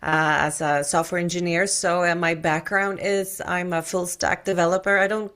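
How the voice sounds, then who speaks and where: A woman's voice: lowish voice, little pitch movement, normal speed, a bit bright, smooth. A middle-aged woman from Sweden with an American accent, chatting casually and candidly as an interview guest. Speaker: podcast guest, tech expert.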